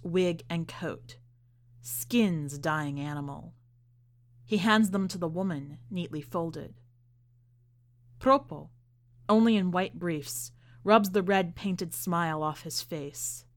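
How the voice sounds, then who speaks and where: A woman podcast host narrating and commenting on literary fiction in a measured, expressive manner. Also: fairly low pitch. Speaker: podcast host and story reader.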